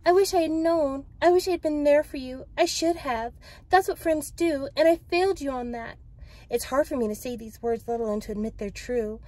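A woman's voice: sits high, moderate pace, dark tone, voice pushed up, lightly acted. A woman voicing a tearful, self-absorbed young female pop idol in a dramatic book read-aloud, emotive and pleading. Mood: remorseful pleading.

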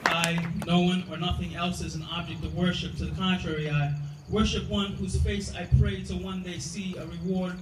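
A man's voice: sits high, little pitch movement, normal speed, few pauses, over a noisy crowd. A man giving a spoken word poetry reading with a rhythmic, rhyming delivery. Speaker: poet. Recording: echoey room from far off, loud noise.

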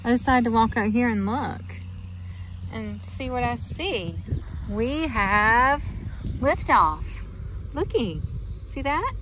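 A woman's voice: a little high, animated, slow pace, long pauses. A woman in her forties vlogging casually about the farm, chatty and often laughing, filmed on a phone held at arm's length in a truck and out in the fields. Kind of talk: casual vlog narration. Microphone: phone camera held at arm's length. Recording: noisy outdoor phone recording. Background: truck and outdoor ambience.

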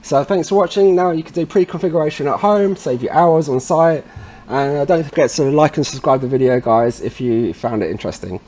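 Mellow man giving a casual tech tutorial. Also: fairly high pitch, fairly quick, a little hiss or hum. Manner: informal, addresses viewers as guys. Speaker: tutorial presenter, installer trade. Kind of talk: casual step-by-step software tutorial.

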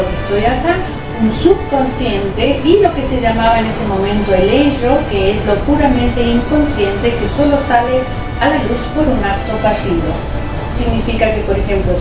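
A woman's voice: fairly high pitch, far from the mic. Earnest Argentine woman with roomy echo. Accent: Argentine Spanish. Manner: earnest, teacherly, reads scripture aloud. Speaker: host of a faith talk show. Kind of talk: devotional talk to camera. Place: small home studio. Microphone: desk microphone in front.

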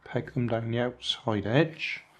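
A man's voice: medium pitch, unhurried, fairly bright tone. An older man in casual show-and-tell narration, chatting dryly about charity shop finds while handling them at a table. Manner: dry, chatty, musing asides. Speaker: vlog host reviewing charity shop finds. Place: indoors at a table, hands on camera.

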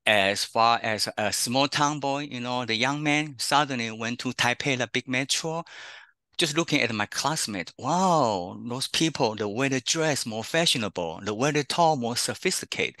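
A man's voice: medium pitch, pushed and bright, dry recording, studio quality. A middle-aged man from Taiwan with a Taiwanese accent, Chinese as his first language, presenting an online career workshop as a counselor and often tagging "okay, huh?". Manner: chatty, frequent "okay, huh?" tags. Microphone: video call.

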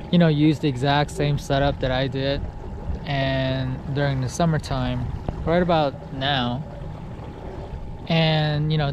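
A man in his forties, a little tipsy, talking casually to a kayak-mounted camera on the sea, rambling with lots of fillers. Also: medium pitch. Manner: slow, relaxed, rambling with many fillers. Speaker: fishing vlogger. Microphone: action camera mounted on the kayak. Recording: clear sea wind and water noise. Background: wind and water noise.

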